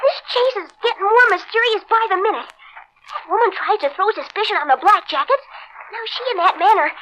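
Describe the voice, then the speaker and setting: A woman's voice: very high-pitched, expressive, normal speed, a bit bright, poor audio. An actress playing a plucky, bossy young girl detective in old radio serial style, eager and exclamatory. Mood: puzzled, determined. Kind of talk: 1930s-40s radio serial acting. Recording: old radio recording with faint noise.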